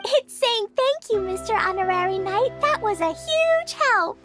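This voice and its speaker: A sweet little girl in a video game, extremely high and slow-paced. She sounds innocent, kind and eager, with a lively, childlike lilt. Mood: earnest. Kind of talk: video game cutscene voice acting. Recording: music behind the voice.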